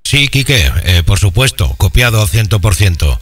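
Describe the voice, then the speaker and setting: A man's voice: fairly low pitch, expressive, moderate pace, husky. A man in casual technical chat as an amateur radio operator, hesitant and explanatory, on a processed studio-style microphone. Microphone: microphone with preamp, equalizer and bass exciter.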